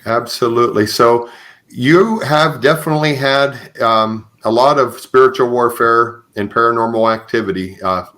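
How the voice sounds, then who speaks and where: A man's voice: mid-range voice, moderate pace, bright, forceful tone. A man hosting a conversational podcast interview, speaking in hesitant phrases with frequent acknowledgements. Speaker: podcast host and author.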